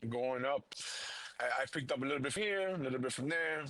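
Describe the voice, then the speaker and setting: A man's voice: medium pitch, fast talker, fairly bright tone, no room sound. A man in his late thirties from South Florida, a fighter chatting as an interview guest, upbeat and often repeating words as he starts a sentence. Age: 30s. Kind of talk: casual interview chat.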